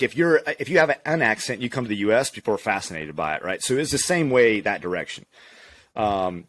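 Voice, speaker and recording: A man's voice: mid-range voice, no echo. Laid-back man from Louisiana chatting. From Louisiana, USA. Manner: relaxed, candid, laughs easily. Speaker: physical therapist guest. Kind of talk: casual conversational interview.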